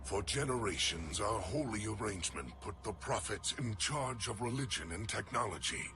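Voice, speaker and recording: Deep, dramatic alien warrior. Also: male voice, expressive, moderate pace, noisy background. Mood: bitter, reverent. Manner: grave, emphatic, dramatic. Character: proud, embittered alien elite warrior recounting lost traditions. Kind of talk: game cutscene acting. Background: cinematic music.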